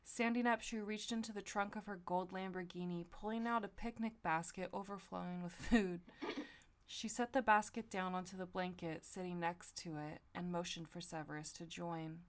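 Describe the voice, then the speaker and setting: A woman's voice: on the low side, fairly even intonation, normal speed. A young woman hosting a comedy podcast, reading fan fiction aloud with slangy, casual asides. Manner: slangy, profane, giggly asides. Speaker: podcast host.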